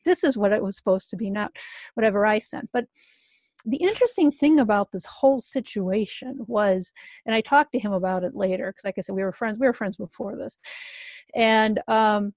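A woman's voice: medium pitch, fairly quick, a little mellow, no echo. Woman telling a personal story, dry close sound. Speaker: podcast guest, writer. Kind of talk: casual personal storytelling in an interview.

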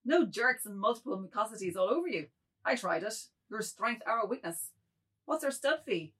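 A woman's voice: a little high, expressive, normal speed, a bit bright, slightly rough. A woman playing a wordy, self-abasing middle-aged man in a theatrical novel reading. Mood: defiant, mocking. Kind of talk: dramatized reading of a modernist novel.

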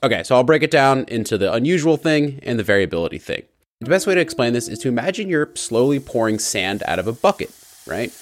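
A man's voice: mid-range voice, big pitch swings, fast. A man hosting a podcast, narrating and explaining ideas plainly with everyday examples. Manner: plain, conversational explainer using everyday images. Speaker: podcast host.

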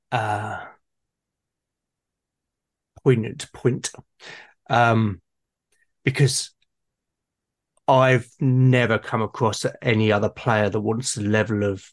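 A man in a casual discussion, halting and repeating words as he forms his ideas. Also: lowish voice. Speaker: conversation partner. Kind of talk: casual discussion of role-playing games.

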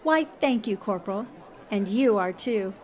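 A woman's voice: mid-range voice, lively intonation, normal speed, natural voice. A woman playing a shrewd, sympathetic young newspaperwoman in an old-time radio drama, wry and poised. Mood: warm, wry. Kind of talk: 1940s-style radio drama acting.

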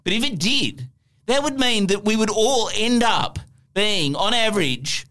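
A man with an Australian accent co-hosting satirical news banter, excitable and given to mock-grand emphasis. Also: high-pitched, a little slow. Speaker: podcast co-host.

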